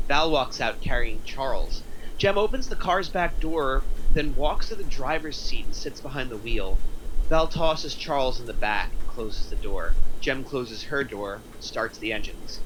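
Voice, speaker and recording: A man's voice: medium pitch, noisy background. A man narrating the stage directions of a script at a table read.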